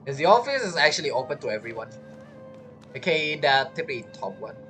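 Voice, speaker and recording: Rambling game streamer, lively pitch. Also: fairly quick, faint music behind. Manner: rambling, thinking aloud. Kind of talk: casual live gameplay commentary.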